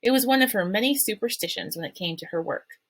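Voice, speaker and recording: Woman reader, children's novel read-aloud, steady storytelling, dry sound. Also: mid-range voice, fast, no room sound.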